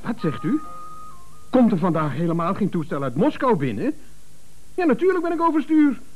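A fretful, put-upon office worker in a radio comedy. His voice sits very high and swings up and down as he grumbles with nervous sarcasm. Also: sing-song, normal speed, highs cut off, soft background music. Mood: agitated.

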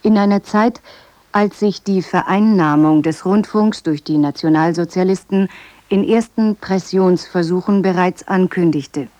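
A woman presenting a scripted radio introduction in a measured, formal manner. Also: mid-range voice, mellow, no room sound.

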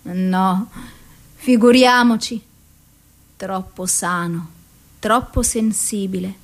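A woman acting an anguished, mentally ill, lovelorn woman in a theatrical dramatic monologue, with an intense, deliberate delivery. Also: medium pitch. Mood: withdrawn, defiant.

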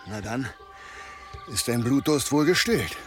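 An actor playing a hearty, teasing medieval woodcutter in an audio drama, expressive in his delivery. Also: medium pitch, unhurried, soft background music, lightly acted. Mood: teasing, friendly. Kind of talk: German audio drama acting.

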